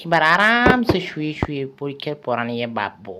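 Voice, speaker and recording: A performer with a woman-like voice plays an excitable, boastful cartoon cat who talks to itself in dramatic exclamations. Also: medium pitch, a little fast, very noisy, lightly acted. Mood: smug, relaxed. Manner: exclamatory, dramatic, talking to self. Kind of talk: cartoon dub, comic monologue.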